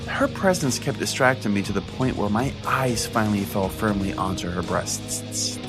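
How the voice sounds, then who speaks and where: A man's voice: on the low side, moderate pace, music in the background, close to an everyday voice. A man playing a self-important, foul-mouthed conspiracy pastor, rambling earnestly as if hosting his own comedy podcast. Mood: rambling, bewildered. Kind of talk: mock podcast monologue, comedic storytelling.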